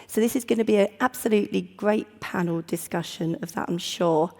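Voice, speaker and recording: A woman with a croaky voice moderating a conference panel, polite and clearing her throat often. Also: on the low side, mellow. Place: conference stage before an audience. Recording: long hall reverb.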